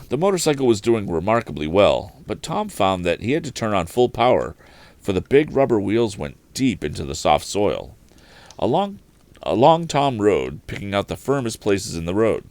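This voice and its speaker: A man with a low voice reads an adventure story aloud. His narration is expressive, with animated rises and falls in pitch, and the sound is dry and close. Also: dry recording. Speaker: host reading a story aloud. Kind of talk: expressive audiobook-style narration of an adventure novel.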